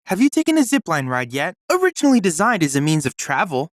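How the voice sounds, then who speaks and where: A man's voice: high voice, a bit bright, dry recording. A polite bookish android boy from a video game, super proper wording, bouncy intonation, dry close sound. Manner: formal, precise, courteous diction. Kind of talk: scripted video game dialogue.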